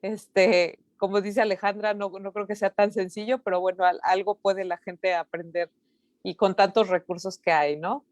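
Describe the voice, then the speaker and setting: A woman's voice: medium pitch, fairly even intonation, moderate pace, a little mellow, no room sound. A woman moderating a live online talk, reading out comments and chatting, with many fillers and tag questions. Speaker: online event host.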